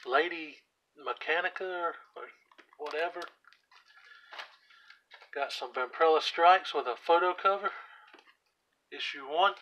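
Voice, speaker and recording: A hoarse man casually walking through his comic book collection, reading out titles with offhand asides while he sits on the floor at home. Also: a little high, a little slow, long pauses, pushed and bright, a little hiss or hum, low-quality recording. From US South. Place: indoors at home, seated on the floor by a shelf. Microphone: camera aimed at the shelf, speaker off screen.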